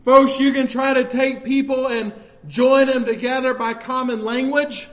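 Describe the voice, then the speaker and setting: An American man preaches with a strikingly high-pitched voice. His sermon delivery is impassioned and emphatic, urging his listeners on in plain, folksy phrasing. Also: little pitch movement, measured pace.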